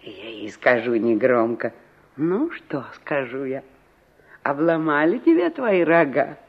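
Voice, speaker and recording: Exasperated village aunt, animated delivery. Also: female voice, a bass voice, unhurried, breathy edge, heavily acted. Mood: brooding. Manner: complaining, emphatic, rhetorical questions. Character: exasperated village aunt fretting over her stubborn niece. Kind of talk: 1960s radio play acting. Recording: dull vintage radio recording.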